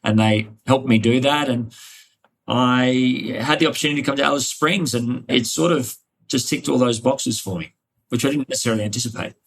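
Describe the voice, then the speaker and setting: A man's voice: medium pitch, brisk, poor audio. An Australian man answering interview questions conversationally, in a reflective, discursive manner. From Hunter Valley, New South Wales, Australia. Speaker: interview guest, cardiologist.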